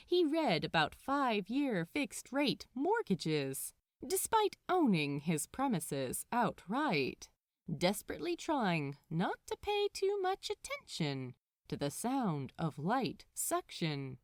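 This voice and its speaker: Animated, unhurried woman storyteller, dry sound. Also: a little high, dry recording. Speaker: fan fiction podfic narrator. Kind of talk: expressive scripted story narration.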